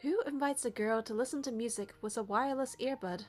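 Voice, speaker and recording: A woman reading a game's story aloud as narration in an expressive way. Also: on the high side, a little fast. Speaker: player narrating a game playthrough. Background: music.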